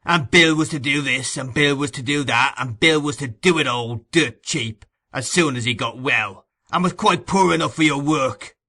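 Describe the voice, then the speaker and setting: A man voicing a brutal, surly burglar who talks in a loud growl. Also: mid-range voice, lively intonation, moderate pace. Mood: bitter. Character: brutal, surly male burglar, sick and bitter.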